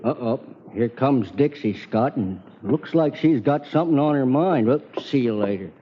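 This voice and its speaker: An actor playing a chummy, teasing Old West bartender with wry, folksy talk in a 1940s radio drama. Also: male voice, mid-range voice, lively intonation, moderate pace, background noise, close to an everyday voice. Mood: wry concern. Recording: muffled old radio with music behind.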